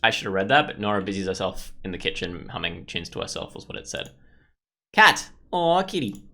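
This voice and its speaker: A man's voice: on the low side, very animated, fairly quick, sharp tone. Rambling young man doing casual game commentary. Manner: informal, rambling, sweary. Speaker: gaming video host. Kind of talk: casual let's play commentary.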